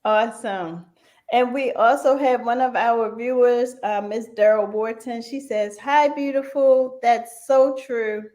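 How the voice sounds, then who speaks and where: A woman's voice: a little high, a little slow, darkish tone. A woman hosting a conversational radio interview show.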